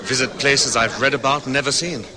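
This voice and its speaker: A man's voice: mid-range voice, a little fast, a crowd in the background, close to an everyday voice, low-quality recording. An actor playing a polite, well-off, educated young man with a guarded, understated manner in an old radio drama.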